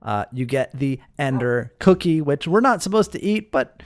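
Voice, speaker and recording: A man's voice: medium pitch, expressive, moderate pace, no room sound, clean studio sound. A man giving casual gameplay commentary as a host, explaining things step by step and talking directly to his viewers. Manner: explanatory, addressing viewers as guys. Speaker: host of a Minecraft mod showcase.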